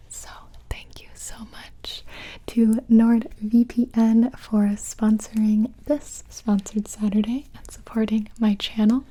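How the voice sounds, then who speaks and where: A woman's voice: medium pitch, dark tone, breathy edge. A woman whispering breathily as an ASMR host reading a sponsor message.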